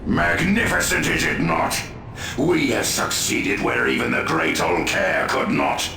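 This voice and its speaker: A man playing a zealous, grandiose dark warrior villain in a video game, deep and gravelly, preaching with pompous theatricality and heavy echo. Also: animated, a little slow, exaggerated voice. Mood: gloating, menacing. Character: zealous, grandiose Chaos space marine cult villain. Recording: echoing voice under loud music.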